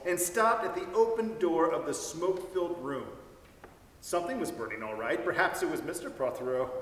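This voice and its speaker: Man, expressive storyteller, slightly high voice, recorded at a distance in a reverberant hall. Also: slight background noise. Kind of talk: dramatic reading of a story. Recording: echoey hall, mic at a distance.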